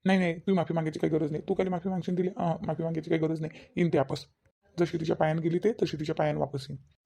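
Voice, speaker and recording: A lovesick young cartoon husband played by a man, with a high, mellow voice and a creaky edge. He speaks softly and dutifully in a Varhadi Marathi accent, repeating himself as he goes. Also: flat delivery, rapid-fire. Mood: reassuring. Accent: Varhadi Marathi from Vidarbha. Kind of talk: cartoon family drama dialogue.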